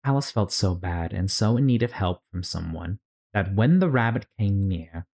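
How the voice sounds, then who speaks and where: A storyteller reading a children's classic aloud as expressive narration for a read-along. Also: sits low, moderate pace, slightly rough.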